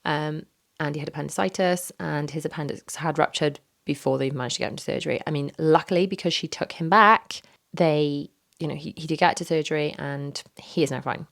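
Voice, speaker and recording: Hoarse, gravelly woman podcaster. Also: sits low, steady tone, brisk, fairly bright tone. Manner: chatty, candid, rambling with many fillers. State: hoarse, recovering from illness. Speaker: podcast host. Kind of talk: casual solo podcast chat. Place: home office. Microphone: studio microphone.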